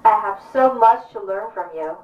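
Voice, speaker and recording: A woman's voice: mid-range voice, moderate pace, close to an everyday voice. A woman playing an earnest young male convert in a puppet show, reciting formally. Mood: earnest, determined. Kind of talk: stage puppet show acting. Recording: distant echoey muffled stage recording.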